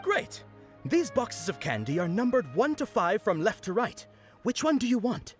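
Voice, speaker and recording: Animated, high-voiced jolly festival knight. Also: male voice, normal speed, soft background music. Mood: playful. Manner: pompous proclamations turning to friendly patter. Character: jolly festival knight performer, theatrically commanding children. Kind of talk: video game voice acting.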